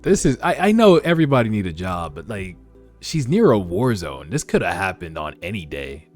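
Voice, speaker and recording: Low-voiced man giving casual commentary over music. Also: big pitch swings, moderate pace, a little mellow. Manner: informal, slangy, conversational asides. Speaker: host of a webtoon read-along. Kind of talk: casual reaction commentary mixed with narrated reading. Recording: music under the voice. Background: background music.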